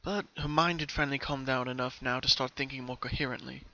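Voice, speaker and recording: A man gives a scripted dramatic reading as a story narrator. His voice sits at a middle pitch with a bright, forward tone. The sound is a little dull, like a home recording. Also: fairly even intonation, fast.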